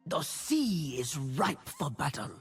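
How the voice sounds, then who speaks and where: A husky, commanding warrior priestess. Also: female voice, sits low, big pitch swings, slow pace, exaggerated voice. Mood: defiant. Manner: commanding, declarative, solemn. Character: fierce warrior priestess of a harsh sea god. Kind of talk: video game character voice lines. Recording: game audio with music behind.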